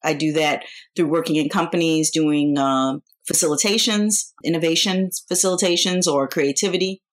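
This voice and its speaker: An American woman in her fifties, originally from New York, speaks in a fairly low voice. She is an interview guest answering in a casual, conversational style, feeling out her phrasing as she goes. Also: moderate pace. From New York, United States. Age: 50s.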